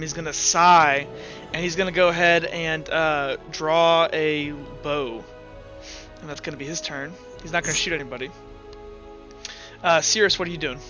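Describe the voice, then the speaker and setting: A man with a high, forceful voice runs a tabletop roleplaying game. He explains rules and scenes casually, often stopping to rephrase. The sound is dull and lo-fi, with faint music underneath. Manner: casual, halting explanations with self-corrections. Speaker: game master.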